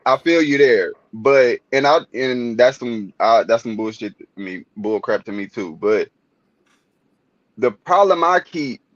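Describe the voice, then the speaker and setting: A man's voice: mid-range voice, animated, measured pace, no room sound, dull audio. A man calling in to a talk show. He speaks earnestly, hesitates often and leans on filler words. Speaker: caller. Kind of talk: casual call-in chat.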